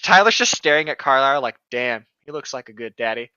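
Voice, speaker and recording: Bright, lively man with a sarcastic streak. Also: medium pitch, fast, smooth, no room sound, dull audio. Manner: sarcastic, profane, mock-serious. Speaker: podcast co-host reading a novel aloud. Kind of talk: joking group read-along with commentary.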